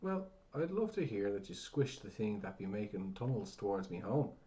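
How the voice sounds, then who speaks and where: A man voicing a crass, half-deaf old blacksmith who shouts in a gruff, rough brogue. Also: lowish voice, sing-song, normal speed, dull audio.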